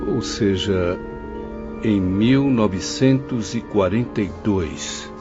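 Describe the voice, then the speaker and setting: A man playing an awed penitent pupil in an audio drama, speaking gravely and dramatically. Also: lowish voice, takes it slowly. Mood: horrified, grave. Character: astonished, horrified male penitent pupil. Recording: dull audio over background music.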